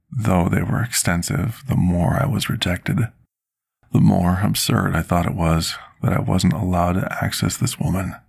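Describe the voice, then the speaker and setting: A man playing a brooding, formal-spoken potion master who tells his past in an intimate, measured ASMR roleplay monologue, with a gravelly, husky voice. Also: sits low, full character voice. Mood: regretful. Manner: formal, archaic, measured storytelling diction.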